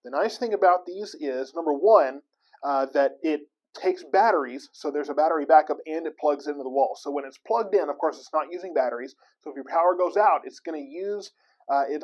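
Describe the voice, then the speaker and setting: A man with an American accent and a slightly high voice hosts an informal explainer. He talks in a folksy, conversational way, often halting and feeling for the next phrase as he goes. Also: darkish tone, low-quality recording. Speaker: host of weather tips segment. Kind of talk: casual explainer to camera.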